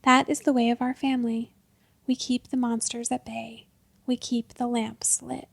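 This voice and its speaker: A woman reading a short story aloud as narrator, often dropping to a hushed, breathy whisper. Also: fairly high pitch, flat delivery, moderate pace, dry recording.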